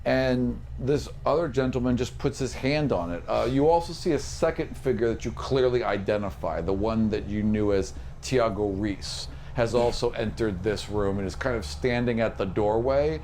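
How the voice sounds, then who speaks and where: A man runs a tabletop fantasy game as game master, setting scenes in short descriptive phrases. His voice sits at a middling pitch, with noticeable noise behind it. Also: normal speed, few pauses, darkish tone. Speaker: game master and podcast host.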